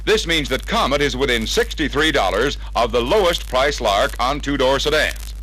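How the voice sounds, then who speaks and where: An American man reading a scripted 1960s promotional narration in a measured, emphatic, salesmanlike way, from an old record. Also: medium pitch, animated, bright, forceful tone, rough recording. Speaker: narrator of a salesman training film. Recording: vintage 33-1/3 RPM record sound.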